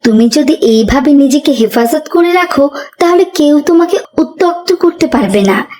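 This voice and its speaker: A gentle, pious mother in a children's cartoon, acted by a woman. She explains patiently and instructively, with lively rises and falls in her voice. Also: sits high, moderate pace. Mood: patient teaching.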